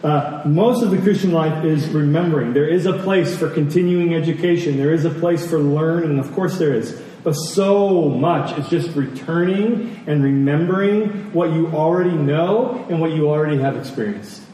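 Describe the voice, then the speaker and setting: A man delivers a sermon in a high-pitched, mellow voice. He repeats key phrases deliberately and punctuates his points with questions. The sound is very echoey, as if heard across a big hall. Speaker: pastor preaching. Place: large reverberant room, church service. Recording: very echoey hall, distant mic, faint noise.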